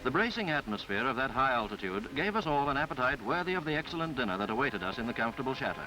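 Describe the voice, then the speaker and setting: Male voice, medium pitch, expressive intonation, 1950s travelogue narration, formal and measured, old film soundtrack, music underneath. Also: low-quality recording. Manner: formal, florid, measured delivery. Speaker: newsreel narrator.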